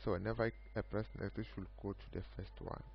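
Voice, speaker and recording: A man giving an unscripted screen-recorded software demo of his own project, speaking haltingly in short broken phrases. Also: on the low side, fairly even intonation, creaky. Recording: muffled lo-fi mic with background hum.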